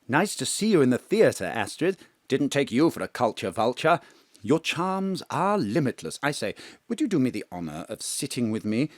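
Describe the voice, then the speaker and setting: An actor playing a posh, suave, devoted gentleman spy chief with an eager, flirtatious manner in a comic audio drama. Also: medium pitch, very animated, moderate pace, no echo, natural voice.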